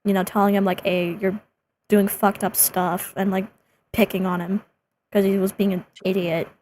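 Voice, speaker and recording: A woman's voice: medium pitch, level tone, darkish tone. Blunt swearing young woman, faint noise. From Japan. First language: Japanese. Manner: blunt, swears freely, quick asides. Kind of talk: casual chat.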